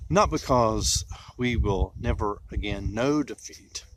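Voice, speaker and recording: Guy reciting a solemn poem really slowly, lots of dramatic pauses, bit of background noise. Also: on the low side, low-quality recording. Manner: deliberate phrasing with dramatic pauses. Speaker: poetry reciter.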